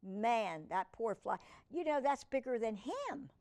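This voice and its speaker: A woman's voice: a little high, sing-song, a little slow, a little mellow. A woman hosting a children's storytime, reading a picture book aloud expressively and adding chatty asides and questions to her young viewers.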